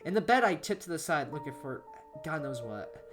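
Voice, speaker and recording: A woman narrates a horror story in an amateur, dramatic style. Her voice is low with very lively intonation, and soft music runs underneath. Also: moderate pace.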